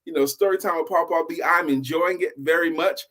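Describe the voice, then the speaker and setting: Slightly high-voiced man telling a story casually. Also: brisk. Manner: animated, conversational, repeats phrases for emphasis. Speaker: storyteller and show host. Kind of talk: casual, improvised storytelling for adults.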